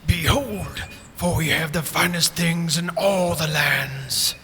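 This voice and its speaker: A man's voice: a little high, slow pace, bright, forceful tone, slight background noise. A man playing a grandiose shopkeeper who proclaims like an ancient warrior-king in a comic radio skit. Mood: grandly welcoming. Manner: pompous, theatrical archaic proclaiming.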